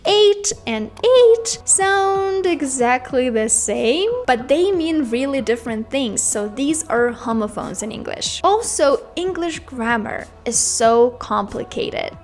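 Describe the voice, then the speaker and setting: A woman's voice: high voice, lively intonation, slow pace, hardly any pauses, faint music behind. A Russian woman with a Russian accent giving a casual, chatty English lesson, speaking emphatically and straight to her viewers. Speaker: English teacher, video host. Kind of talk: casual explanatory talk to camera.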